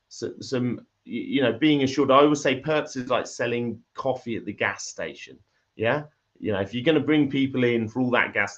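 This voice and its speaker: A croaky man explains his trading platform over a remote call, stumbling and restarting as he goes. His voice sits at an ordinary pitch, and the audio is dull and muffled. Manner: halting, repeats words and restarts often. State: croaky voice from illness. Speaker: crypto project founder. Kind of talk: livestream interview.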